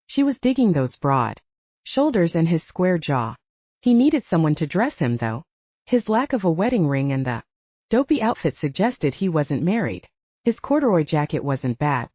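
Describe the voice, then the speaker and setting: Female audiobook narrator for fiction. Mellow tone, animated delivery, slightly dulled recording. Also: on the low side, no room sound, muffled recording.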